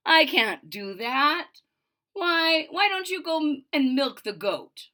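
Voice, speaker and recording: A woman voicing a wise, kindly old witch in a storybook, gentle and reassuring. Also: sits high, big pitch swings, takes it slowly, pitched above the performer's own voice, full character voice.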